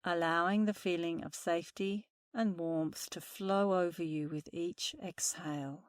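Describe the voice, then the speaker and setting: A woman meditation instructor speaking softly and soothingly through a guided meditation. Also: fairly low pitch, fairly even intonation, clean tone, dry recording, studio quality. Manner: slow, soothing, soft-spoken guidance.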